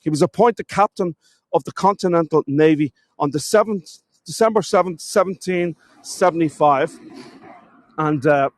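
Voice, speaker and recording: A man's voice: a little high, normal speed, dry recording. Sniffly man giving a chatty live tour. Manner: chatty, greets viewers by name, addresses them as folks. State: has a cold, sniffly. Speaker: live-streaming walking tour guide. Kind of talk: casual live-stream tour narration. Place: outdoors in a town.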